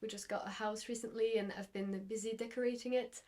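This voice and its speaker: A woman's voice: a little high, steady tone, a little fast, warm tone. Young woman, from Belgium, knitting vlogger, casual chat, rambling, home craft room. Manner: rambling, friendly, many fillers and restarts. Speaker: knitting podcast host. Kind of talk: casual chatty vlog.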